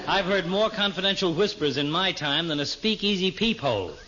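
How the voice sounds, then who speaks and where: Droll, pompous doctor, highish voice. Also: male voice, animated, brisk. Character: droll, sardonic family doctor trading insults. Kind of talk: 1940s radio comedy acting. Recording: very noisy, muffled old radio recording.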